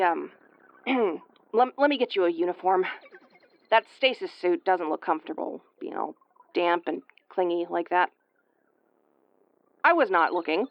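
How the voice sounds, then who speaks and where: A woman's voice: mid-range voice, dry recording, muffled recording. Woman acting a brash, tomboyish tsundere starship commander. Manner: brusque, sweary, easily flustered. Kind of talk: audio roleplay acting, spoken to the listener.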